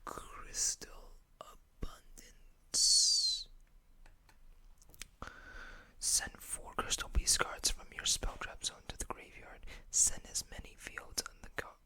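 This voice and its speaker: An ASMR host speaking in a breathy, unvoiced whisper close to the microphone, unhurriedly reading text aloud with casual asides. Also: takes it slowly, pushed and bright.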